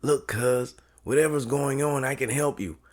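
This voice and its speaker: A man's voice: mid-range voice, steady tone, moderate pace, natural voice. A man playing an eager, streetwise cousin in a crime audio drama, with casual, slangy talk.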